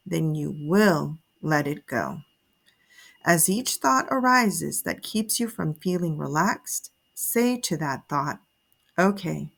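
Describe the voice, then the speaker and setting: Low-pitched woman guiding a sleep meditation. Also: creaky. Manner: soothing, measured, instructive delivery. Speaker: meditation guide. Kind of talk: guided sleep meditation narration. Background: soft music.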